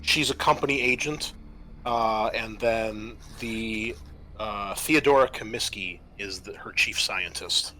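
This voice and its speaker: A man runs a tabletop roleplaying game in a forceful, slightly low voice. He explains rules and scenes conversationally, as game master, over faint background noise. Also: slow, low-quality recording.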